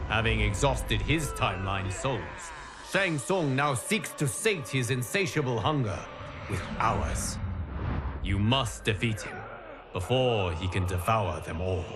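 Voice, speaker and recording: Slow, solemn guardian god. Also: male voice, lowish voice, expressive, over music. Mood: grave, urgent. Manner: measured, grave, formal diction. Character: solemn, wise male guardian god narrating grim tales. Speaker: narrator character in a fighting game. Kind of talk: solemn scripted game narration.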